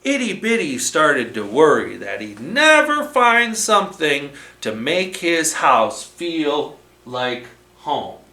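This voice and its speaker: A man reading a storybook aloud to children as a storytime host, expressive, with dramatic pauses and emphasis. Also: a little high. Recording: roomy, echoing room sound.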